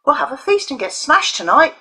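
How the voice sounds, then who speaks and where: Woman acting a jeering, high-pitched boy bully. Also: big pitch swings, fairly quick, sharp tone, full character voice. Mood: gleeful, bragging. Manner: crowing, taunting. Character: jeering, swaggering schoolboy bully gang leader.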